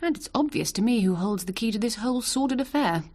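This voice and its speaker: An actress playing an assertive English barrister's wife, brisk, pointed and articulate, in a British radio drama. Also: female voice, fairly high pitch, lively intonation, darkish tone. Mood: confident. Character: barrister's sharp, assertive wife, ambitious to qualify herself.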